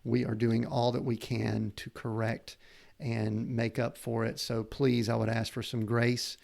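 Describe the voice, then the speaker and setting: A middle-aged male host with a gravelly, fry-edged voice at an ordinary pitch. He talks in an earnest, meandering way, circling toward his questions. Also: little pitch movement, measured pace, a little mellow. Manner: rambling, earnest, searches for words. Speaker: podcast host. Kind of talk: conversational interview.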